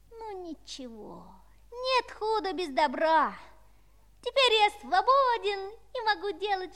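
A woman playing a mischievous, touchy little boy figure in a children's audio play, animated and exclamatory. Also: high-pitched, full character voice.

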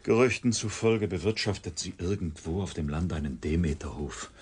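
Deep-voiced, guarded institute scientist. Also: male voice, moderate pace, a little mellow, husky. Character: guarded, formal male research institute colleague. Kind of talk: radio drama acting.

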